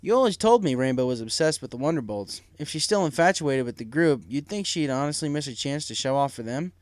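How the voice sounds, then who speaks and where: A man's voice: medium pitch, expressive, brisk, no room sound, natural voice. A man voicing a frank, supportive pony workmate in a storybook, direct and probing. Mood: encouraging. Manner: direct, probing, plain-spoken. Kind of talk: storybook character reading.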